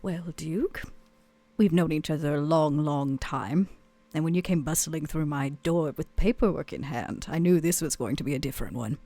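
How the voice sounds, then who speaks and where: A woman's voice: deep voice, normal speed, dark tone, dry recording. A woman playing a weary, proud club owner in a tabletop roleplay, speaking in a theatrical, hesitant way. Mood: guarded.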